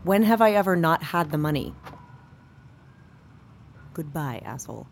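A woman's voice: sits low, animated, unhurried, creaky, background noise, heavily acted. A woman acting a jaded, foul-mouthed character in an audio drama, blunt and casual in a naturalistic way. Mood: irritated, impatient.

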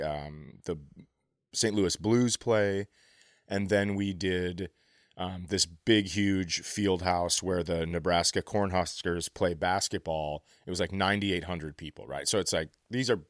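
A man telling casual, animated stories as a comedian on a podcast, often profane and easily amused. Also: sits low, no room sound, studio quality. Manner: acts out other people's quotes.

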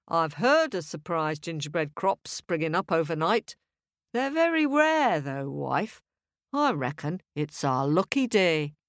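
A woman's voice: sits low, big pitch swings, measured pace, no room sound, clean studio sound, heavily acted. A woman voicing a mild, folksy farmer husband in a storybook tale, a little hesitant. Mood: wise, wondering. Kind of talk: storybook character voice.

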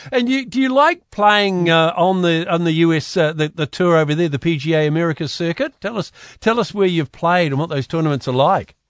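A man's voice: a little high, fairly quick, few pauses, dry recording, studio quality. A man hosting a radio interview, asking chatty, friendly questions. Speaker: radio host. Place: radio studio. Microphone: studio mic.

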